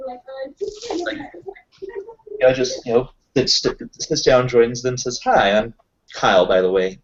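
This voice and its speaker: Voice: mid-range voice, animated, measured pace. Hesitant roleplayer in a dull recording. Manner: hesitant, rambling explanations. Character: Kyle, affable self-employed tech contractor. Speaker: tabletop roleplay player. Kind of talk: improvised in-character casual dialogue.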